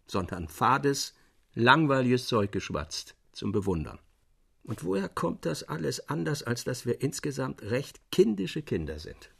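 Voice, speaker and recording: A man's voice: mid-range voice, creaky, breathy edge, full character voice. An actor playing a wistful, disenchanted man of letters in a German radio drama, speaking in a theatrical, declamatory manner.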